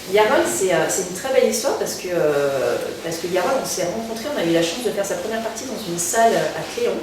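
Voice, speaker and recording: A French woman, a singer, answering interview questions in a casual, halting way, full of fillers and restarts. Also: medium pitch, expressive. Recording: very echoey room, distant mic, noticeable background noise.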